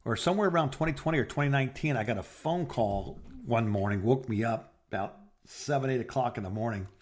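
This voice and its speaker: A man's voice: medium pitch, quick pace. Middle-aged man, rambling casual storyteller. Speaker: podcast co-host. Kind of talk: casual phone chat, personal anecdotes.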